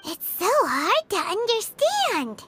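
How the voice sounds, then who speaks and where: A woman voicing a tiny childlike fairy companion in a video game, squeaky and chirpy. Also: huge pitch swings, slow, bright, forceful tone, exaggerated voice. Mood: puzzled. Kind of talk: English video game voice acting. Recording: game music behind the voice.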